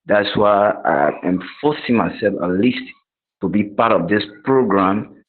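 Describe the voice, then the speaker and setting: A Liberian man with a lowish voice speaks very slowly and formally. He breaks his remarks into short phrases, and the call audio is dull and muffled. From northern Liberia. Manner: deliberate, broken into short phrases. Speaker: training participant. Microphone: video call microphone.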